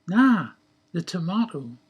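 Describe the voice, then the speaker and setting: A man voicing a cheeky, scheming male joker in a story, glib and blunt as he thinks out loud. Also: sits high, big pitch swings, slow, slightly rough, full character voice. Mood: breezy, scheming.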